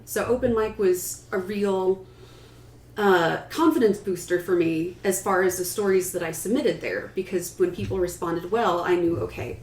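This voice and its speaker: A woman's voice: fairly low pitch, darkish tone. A woman writer on a panel, telling a personal story in a casual, conversational way. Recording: roomy echo with some background noise.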